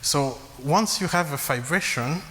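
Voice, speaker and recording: A man who grew up in Congo giving a math lecture, halting with frequent fillers and restarts. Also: on the high side, lively intonation, sharp tone. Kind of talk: academic lecture at a blackboard. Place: lecture hall. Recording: long reverb, faint hiss.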